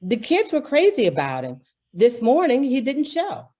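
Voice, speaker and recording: A woman's voice: high voice, huge pitch swings, moderate pace, dark tone, full character voice. A woman playing a harried, earnest department store executive in an old-time radio drama, exasperated and emphatic. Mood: exasperated, pleading.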